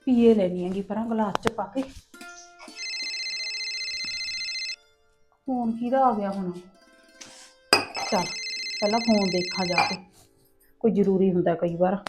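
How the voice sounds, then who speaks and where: A woman's voice: mid-range voice, moderate pace, long pauses, loud music behind the voice, lightly acted. A woman playing a grumbling village housewife. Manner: mutters complaints to herself. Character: grumbling village woman, overworked and sharp-tongued. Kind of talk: amateur comedy skit acting.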